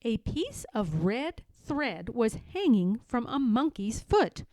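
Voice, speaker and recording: A woman reading a children's story aloud in a dramatic, deliberate way, stressing key words. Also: a little high, sing-song, darkish tone, dry recording. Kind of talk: children's read-aloud narration.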